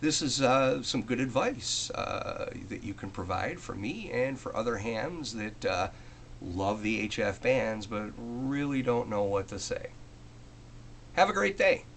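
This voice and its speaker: A man's voice: mid-range voice, animated, measured pace, gravelly, a bit bright, a little hiss or hum. An American man talking casually and off the cuff as a hobbyist vlogger, conversational and a little self-deprecating. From United States. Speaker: amateur radio hobbyist presenting a video. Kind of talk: casual unscripted vlog talk.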